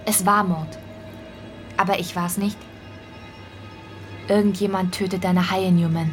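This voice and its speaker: Schauspielerin als ernste, beunruhigte junge Heldin. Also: female voice, fairly low pitch, steady tone, measured pace, soft background music, close to an everyday voice. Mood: anxious. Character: uneasy, empathic woman named Ara in futuristic city. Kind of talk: science-fiction radio drama acting.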